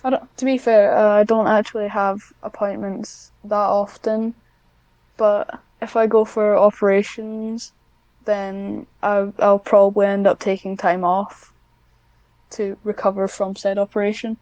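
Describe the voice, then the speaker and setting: A woman's voice: medium pitch, little pitch movement, unhurried, a little mellow, no room sound, lo-fi sound. A young woman chatting casually as an interview guest, with a self-deprecating, dryly joking manner. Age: young adult. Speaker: podcast guest, script writer.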